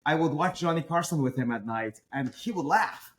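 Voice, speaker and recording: A man's voice: a little high, animated, moderate pace. Emphatic middle-aged man, Persian accent. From Iran. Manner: emphatic, rhetorical. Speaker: guest. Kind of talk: podcast interview.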